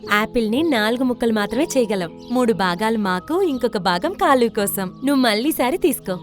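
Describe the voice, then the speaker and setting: Woman voicing a high-pitched caring mother bird. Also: brisk, natural voice. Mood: firm, matter-of-fact. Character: caring, sensible mother bird, firm but kind. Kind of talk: children's cartoon story dubbing. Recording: background music under the voice.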